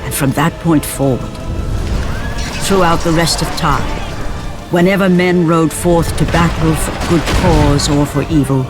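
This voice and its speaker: A man narrates an epic tale in a grave, dramatic voice that sits a little higher than most. Orchestral music plays steadily beneath him. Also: unhurried. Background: orchestral score.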